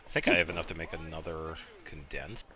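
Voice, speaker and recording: A man casually commentating a game on a live stream, chatting with viewers and thinking aloud in a low-key, rambling way. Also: level tone, fairly quick, a crowd in the background. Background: game music.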